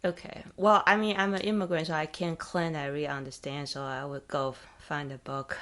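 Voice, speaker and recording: A woman's voice: low-pitched, little pitch movement, fairly quick, a bit bright. A woman host from Taiwan with a Taiwanese accent, asking thoughtful questions in a casual interview, with small grammar slips. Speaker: podcast host.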